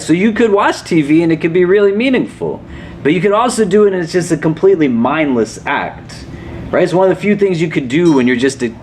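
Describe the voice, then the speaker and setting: A man's voice: on the high side, darkish tone, slight background noise. A man, an imam leading a casual religious class, asking questions and often checking in with "do you know?". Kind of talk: conversational religious lesson.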